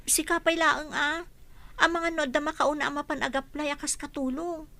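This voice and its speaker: A woman's voice: high-pitched, level tone, moderate pace, gravelly, bright, forceful tone, no echo, heavily acted. A woman acting an eager, humble, easily flustered young housemaid in an Ilocano radio comedy drama.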